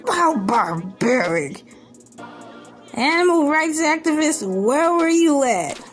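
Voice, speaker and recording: A woman reading news aloud as a humorous online creator, dropping in sarcastic, joking asides with theatrical, drawn-out emphasis. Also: high voice, big pitch swings. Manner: sarcastic, joking asides between read lines. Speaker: online video creator reacting to a news article. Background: background music.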